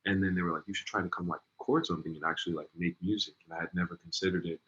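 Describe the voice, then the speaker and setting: A man's voice: deep voice, steady tone, rapid-fire, fairly bright tone, dull audio. A man in his twenties, a singer guest in an interview, talking in a laid-back way with many ums and likes. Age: 20s. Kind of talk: casual interview.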